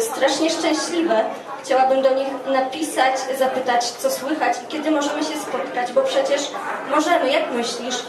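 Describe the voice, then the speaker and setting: A woman in her twenties giving a poetry reading in short measured phrases on a stage. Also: high voice. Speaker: poet reading own work at a festival. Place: dark stage at a live poetry event, indoors. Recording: roomy live stage sound, distant, audience around.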